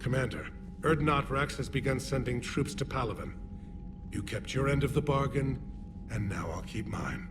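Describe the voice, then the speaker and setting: Man as a formal alien commander over rumble. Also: normal speed, lightly acted. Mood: formal, resolute. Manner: formal, stately. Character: formal, honorable alien military leader. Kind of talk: video game cutscene acting. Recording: clear rumbling noise behind.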